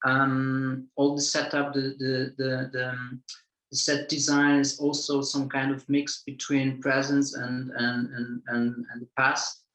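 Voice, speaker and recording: A film director answers questions in English, searching for words and circling back through repeated phrases. His voice sits at a middling pitch and carries a roomy echo. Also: completely flat delivery, a bit bright. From Poland. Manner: halting, many repeated words and restarts. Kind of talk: festival Q&A answer.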